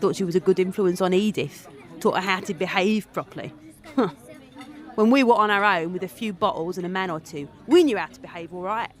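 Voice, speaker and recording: A woman's voice: fairly low pitch, fairly quick, a faint crowd, close to an everyday voice. An actress with a British accent playing a brash, earthy former streetwalker confiding her story in an audio drama. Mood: wry, confiding. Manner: wry, gossipy, blunt. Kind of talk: audio drama monologue, chatty confiding narration.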